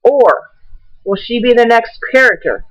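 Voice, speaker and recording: A woman's voice: fairly high pitch, expressive, muffled recording. Woman reading an essay aloud. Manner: deliberate, measured reading with occasional stumbles.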